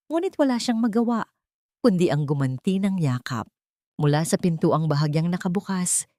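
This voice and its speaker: A woman's voice: on the low side, warm tone, dry recording, clean studio sound. A woman narrator reading a scripted dramatic audio story in a solemn, emotive way.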